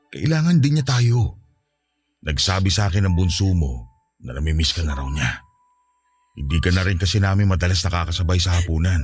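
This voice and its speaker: A man playing a patient husband and grieving father, earnest and reassuring, in a radio-style drama. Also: deep voice. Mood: consoling.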